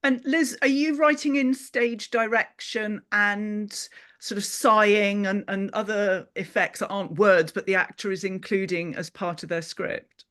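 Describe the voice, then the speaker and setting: A woman's voice: medium pitch, steady tone, a little slow, fairly bright tone. A woman hosting a panel, putting questions to guests in a conversational interview style.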